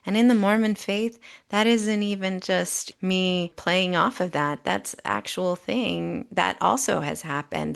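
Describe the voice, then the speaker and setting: A middle-aged woman co-hosting a podcast, speaking in an earnest, emphatic, conversational way with deliberate phrasing. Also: on the low side, gravelly, smooth. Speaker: podcast co-host. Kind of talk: conversational commentary.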